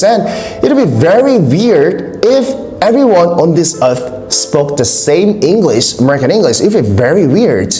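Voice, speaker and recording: A woman's voice: a bass voice, darkish tone. A woman from South Korea with a Korean accent, a native Korean speaker, giving an emphatic English-learning monologue straight to viewers and repeating key points. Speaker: English teacher and video host. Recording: roomy echo, faint music.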